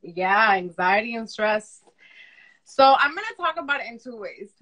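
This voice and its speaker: A woman speaks as a guest in a casual, personal conversation. Her voice is loud, bright and forceful, at a middle pitch. She is frank and emphatic, often repeating phrases to drive a point home. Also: animated, normal speed, low-quality recording. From Dominican upbringing. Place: remote live video chat.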